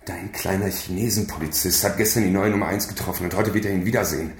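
An actor playing a blunt, scornful, streetwise underworld informant in a radio crime drama. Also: male voice, sits low, steady tone, fast talker, roomy sound, a little hiss or hum, close to an everyday voice.

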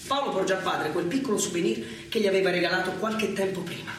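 A man narrating a dramatic stage monologue in a declamatory, measured way with theatrical pauses. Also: high-pitched, a bit bright, a little hiss or hum. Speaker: solo stage actor narrating. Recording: very echoey theatre recording, distant, with music. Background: music.

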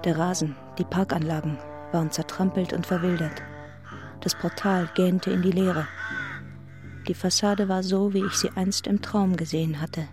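Radio play narrator female actor: first-person heroine, lower register, measured dramatic reading, clear trombone music underneath. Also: fairly even intonation, lightly acted. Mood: stunned, bleak. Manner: measured, intense, in clipped literary phrases. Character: reflective heroine narrating her own story. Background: brass music with trombone.

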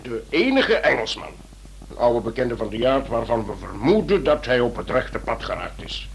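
An actor playing an authoritative, businesslike senior police official in a radio drama, speaking in formal, deliberate phrases. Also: male voice, medium pitch, very animated, gravelly. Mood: methodical. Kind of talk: Dutch-language radio drama acting. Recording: noisy old radio drama recording.

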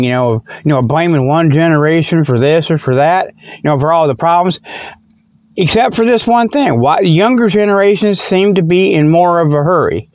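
A man's voice: on the high side, no room sound, muffled recording. An older man hosting a comic radio segment, rambling casually in a folksy, repetitive, digressive way. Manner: folksy, drawling colloquial phrasing, repetitive and digressive.

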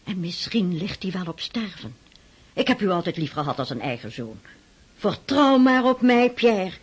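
Genteel, coaxing middle-aged princess. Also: female voice, lowish voice, very animated, normal speed, husky. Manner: genteel, effusive, persuasive. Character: determined, ingratiating middle-aged Russian princess, pious and motherly. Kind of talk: Dutch radio drama acting. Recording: noisy old radio drama recording.

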